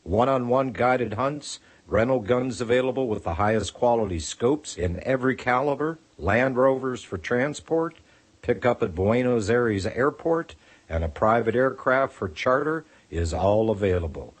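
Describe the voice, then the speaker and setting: A man reading a radio ad script in a measured, inviting way. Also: medium pitch, expressive. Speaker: ad announcer.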